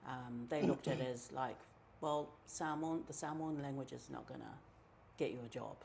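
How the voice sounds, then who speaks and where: A middle-aged woman from New Zealand with a New Zealand accent, telling her own story in a relaxed, chatty way, with many ums and asides. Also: extremely low pitch, normal speed, vocal fry, slight background noise. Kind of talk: casual personal storytelling.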